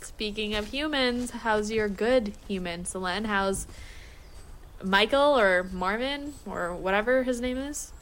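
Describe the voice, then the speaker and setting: A woman's voice: mid-range voice, close to an everyday voice, low-quality recording. An actress playing a brash, stubborn, short-tempered female werewolf and former pack alpha in an audio drama, mocking and emphatic. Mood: defensive, casual. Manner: mocking, emphatic, quick to laugh. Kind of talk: audio drama acting, casual banter.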